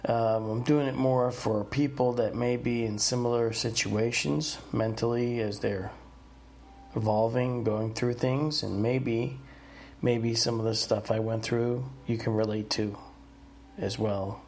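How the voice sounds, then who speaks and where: A man's voice: medium pitch, flat delivery, a little slow, a little mellow, a little hiss or hum. A man in his fifties from the northeastern United States, whose first language was Italian, hosting a podcast and telling his life story in a rambling way with many fillers. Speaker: podcast host. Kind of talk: personal storytelling monologue.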